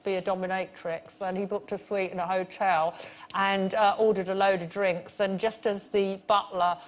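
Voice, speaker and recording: A woman with a London accent, a panel show guest telling a frank anecdote in a deadpan way. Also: medium pitch, normal speed. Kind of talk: comedic anecdote. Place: TV studio.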